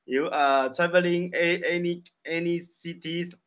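Slow, halting Chinese-accented man. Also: fairly high pitch, steady tone, fairly bright tone. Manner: halting, simple broken English with repeated phrases. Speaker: English learner. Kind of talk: casual English practice conversation. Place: small room indoors, video call. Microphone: desk microphone.

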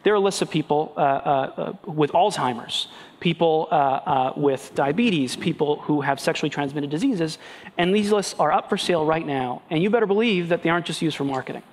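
A man's voice: a little high, fairly quick. A man in his thirties from Peru, speaking emphatically as a panelist on a conference stage through a headset mic. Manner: earnest, emphatic with restarts. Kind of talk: panel discussion. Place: large banquet hall on stage. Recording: long hall reverb, faint noise.

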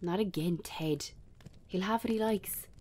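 Casting: actress as weary young female bartender, breathy tone, polite but protective, script-reading drama. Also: mid-range voice, a little slow, darkish tone, close to an everyday voice. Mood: firm, exasperated.

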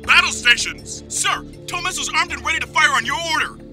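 Man acting very high-pitched urgent naval officer. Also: brisk, over music. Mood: commanding. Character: urgent naval crew and commanding captain aboard a warship. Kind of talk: audio drama acting.

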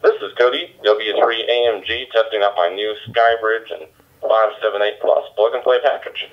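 Low male voice over a two-way radio. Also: normal speed, muffled recording. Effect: digital radio playback. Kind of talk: radio test transmission. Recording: band-limited two-way radio playback.

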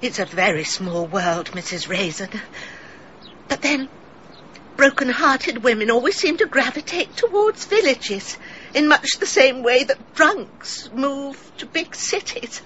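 High, wistful English confessing wife. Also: female voice, lively intonation, unhurried, fairly bright tone, highs cut off, slight background noise. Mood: grave, tense. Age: middle-aged. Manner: genteel, confessional, theatrical. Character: wistful, melodramatic middle-aged village woman confessing a secret. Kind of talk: British radio drama acting.

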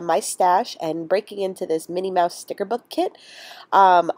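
A woman with a slightly low voice and a congested, stuffy nose talks through her craft project in a chatty, meandering way, full of ums and restarts. The sound is dry and close, with no room around her. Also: darkish tone, dry recording. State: congested from a cold. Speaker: crafting vlogger. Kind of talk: casual voice-over narration of a craft project.

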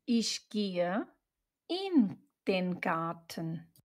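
A woman reading short example sentences for learners, saying each one twice in clear, separate chunks. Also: fairly low pitch, very animated, extremely slow, a little mellow. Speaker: German teacher.

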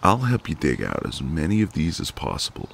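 A man playing a flirty, cocky but gentle ex-marine neighbour in an intimate ASMR roleplay, teasing in a folksy way. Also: rumbling low, huge pitch swings, a little fast, creaky, slight background noise, heavily acted.

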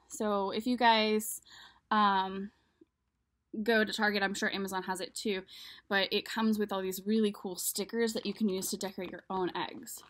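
A woman's voice: medium pitch, a bit bright. A woman in her thirties casually introducing a picture book to children, speaking directly to young viewers in a room at home. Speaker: children's storyteller. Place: small room at home, indoors.

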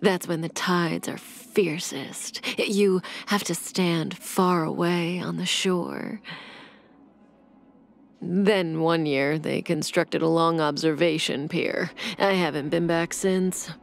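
An actress playing a calm, seductive female assassin in a video game, with a breathy, velvety voice and a languid, teasing delivery. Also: fairly low pitch, slow. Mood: calm, reflective. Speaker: game character voice actor. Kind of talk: scripted video game character voice lines. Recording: clean studio voice over game music.

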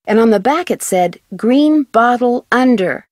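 A woman's voice: a little high, animated, measured pace, a little mellow, no room sound, studio quality. A woman playing a curious, polite young detective in a video game, with an American accent. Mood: curious, friendly. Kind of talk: video game character dialogue.

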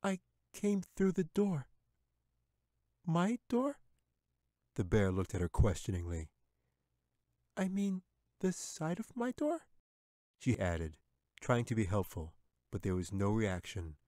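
A man's voice: mid-range voice, huge pitch swings, takes it slowly, long pauses, mellow, dry recording, studio quality, pitched above the performer's own voice. A man voicing a polite, bewildered young girl in a storybook reading, in a raised, earnest voice. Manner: timid and earnest.

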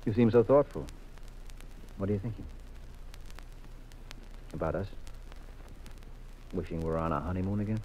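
An actor playing a confident, teasing American husband detective in a 1950s radio mystery, with a wry, assured manner. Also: male voice, sits low, moderate pace, long pauses, dark tone, slight background noise, natural voice. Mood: affectionate, teasing. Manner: wry, assured, leading questions. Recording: muffled vintage radio recording.

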